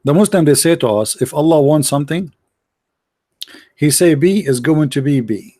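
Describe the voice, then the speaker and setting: A man's voice: fairly high pitch, expressive, warm tone, no room sound, clean studio sound. Mocking, combative male debate host. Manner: mocking, combative, rapid-fire questions; non-native English grammar. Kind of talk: confrontational live debate.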